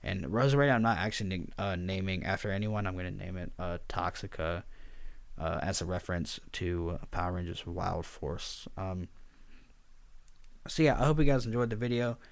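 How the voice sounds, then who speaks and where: A man talking quietly and somewhat monotonously, rambling with lots of fillers as he breaks down his team as a league coach. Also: low-pitched, moderate pace, vocal fry, no room sound. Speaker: draft league coach and video host. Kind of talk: casual spoken commentary over slides.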